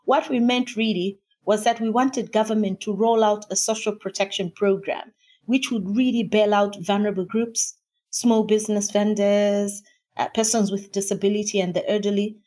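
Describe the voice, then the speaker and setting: A woman official from Malawi, answering an interview in a deliberate, formal way. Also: medium pitch, fairly even intonation, darkish tone. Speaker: human rights official, interviewee.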